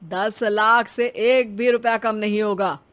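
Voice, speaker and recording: A woman's voice: mid-range voice, fairly even intonation, slow pace, a bit bright. A woman voicing a greedy, haughty father of the groom in a cartoon story, talking in a demanding and threatening way. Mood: haughty, firm.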